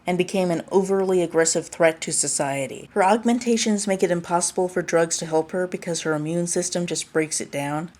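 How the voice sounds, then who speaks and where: A woman's voice: on the low side, darkish tone. A woman reading scripted analytical narration as a media critic.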